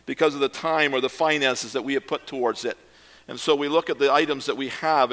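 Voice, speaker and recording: An older man, a pastor, giving a measured, reflective sermon with occasional hesitations. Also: medium pitch, a bit bright.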